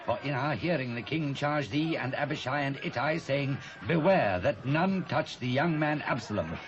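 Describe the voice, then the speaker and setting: A man's voice: medium pitch, lively intonation, normal speed, natural voice. A British man playing an earnest, principled common soldier in a dramatised scripture reading in archaic English. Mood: earnest, defiant. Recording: muffled cassette transfer, clear background noise.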